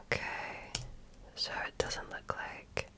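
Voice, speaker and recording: Slow whispering woman in ASMR role-play. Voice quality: soft breathy whisper. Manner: gentle, measured, attentive. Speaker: ASMR performer playing a medical examiner.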